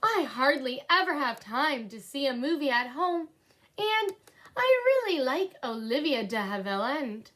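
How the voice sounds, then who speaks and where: Spirited businesswoman heroine, voiced by a female reader, very high and lively, polite yet earnest, for a storybook. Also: a little slow, full character voice. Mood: pleased. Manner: exclaiming, earnest, expressive.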